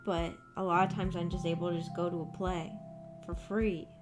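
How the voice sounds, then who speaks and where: A woman's voice: lowish voice, little pitch movement, moderate pace. A woman livestream host chatting casually with her viewers in a rambling, informal way. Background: background music.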